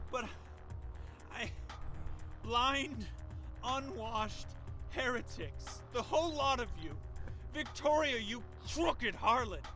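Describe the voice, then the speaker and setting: Casting: deranged vampire cult leader, video game. Sharp, slow, theatrical zealot with archaic, sermonizing venom, music underneath. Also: male voice, very high voice, full character voice.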